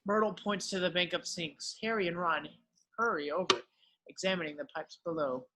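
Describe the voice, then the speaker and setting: A man's voice: sits high, moderate pace, very noisy. A man narrating, reading the stage directions of a screenplay in an amateur read-through.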